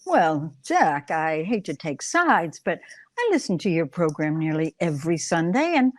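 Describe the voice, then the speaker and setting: A woman plays a poised film star on an old-time radio comedy. She is politely teasing, with lively, wide-ranging pitch. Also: normal speed. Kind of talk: 1940s radio comedy acting.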